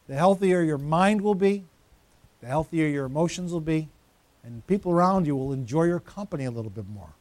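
A man's voice: a little high, lively intonation, fairly quick, a little mellow, no echo. A pastor in his fifties giving a conversational sermon, teasing members of the congregation by name. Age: 50s. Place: church.

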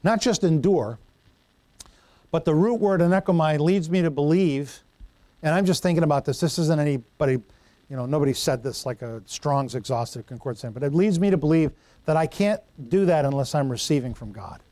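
A middle-aged male pastor with a slightly higher voice delivers a folksy, conversational sermon. He trips over Greek words and drifts into homely stories. The sound is dry and close. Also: lively intonation, fairly quick, dark tone, no room sound.

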